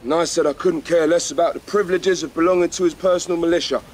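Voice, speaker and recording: A man's voice: fairly high pitch, fairly even intonation, quick pace, a little mellow, slight background noise, natural voice. A male actor playing a tough, defiant rabbit fighter in a radio drama, with a blunt, sardonic and commanding manner. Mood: disgruntled.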